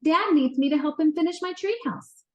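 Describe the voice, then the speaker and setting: A woman's voice: high voice, moderate pace, highs cut off, voice pushed up, natural voice. A woman voicing a rambunctious, eager little boy in a children's storybook. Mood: wailing, upset.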